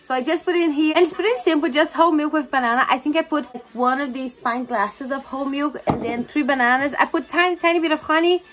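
Casual female vlogger, young, Brazilian accent, native Portuguese speaker, high register, talking into a phone at home. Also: fast talker, hardly any pauses. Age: young adult. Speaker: daily vlogger and mother. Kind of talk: casual chat to camera. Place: indoors at home, kitchen and living room. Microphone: phone at arm's length. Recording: noisy home with appliance and child sounds.

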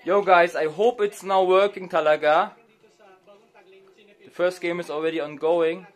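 A young man with a German accent speaks close to the camera in a small room. His voice sits high, and he talks in an excitable, chatty vlog style, dropping in Tagalog words. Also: a little slow. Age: young adult. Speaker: vlogger. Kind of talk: casual reaction vlog.

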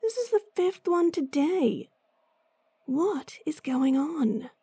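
A woman voicing a gruff, impatient female bounty hunter in a fantasy audio drama, blunt and sardonic, sighing often. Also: high-pitched, animated, slow pace, mellow, no echo, exaggerated voice. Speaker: voice actress.

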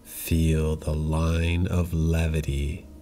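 A man guiding a yoga nidra relaxation in calm, measured instructions, leaving long pauses between short phrases. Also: a bass voice, faint music behind. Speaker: yoga nidra meditation guide. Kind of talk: guided meditation, slow calm instruction.

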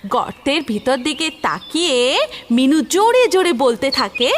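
A woman's voice: sits high, sing-song, measured pace, a little hiss or hum. A woman narrating a scripted fairy tale for children as the storyteller. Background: music.

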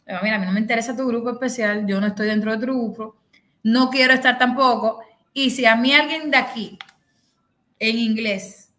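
Cuban woman, middle-aged, high voice, animated storyteller, echoey room, video call. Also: brisk. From Cuba. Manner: animated storytelling, acting out the other people in the story. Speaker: host. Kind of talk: casual chat on a video call. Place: bedroom, indoors.

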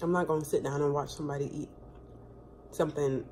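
A woman's voice: low-pitched, fairly even intonation, quick pace, a little mellow, slight background noise. Chatty young woman vlogging in her kitchen. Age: 20s. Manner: chatty and rambling, lots of fillers, laughs often. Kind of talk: casual chat. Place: home kitchen, indoors. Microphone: phone camera propped close on the counter.

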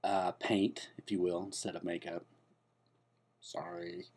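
A rambling, self-important man, played by a man, stumbling through his points in a slow, drawn-out way. His voice sits low with a mellow, dark tone. He delivers every absurd claim with deadpan earnestness. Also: husky. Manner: hesitant and rambling, full of fillers and restarts. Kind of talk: comedic spoof monologue to camera.

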